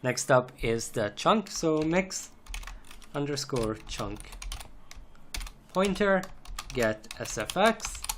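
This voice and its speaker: A man's voice: a little high, expressive, takes it slowly, long pauses. A man narrating an unscripted, step-by-step screencast tutorial in a methodical way, repeating phrases as he goes. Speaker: programming tutorial instructor. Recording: noisy home recording, typing and clicks. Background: keyboard typing, mouse clicks, background noise.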